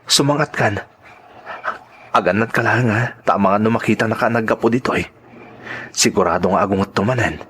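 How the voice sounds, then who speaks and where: A man's voice: on the low side, fairly quick, long pauses, a bit bright, breathy edge. A man with an Ilocano accent playing an aggrieved, protective father, pleading emotionally in a radio drama. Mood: pleading, defiant.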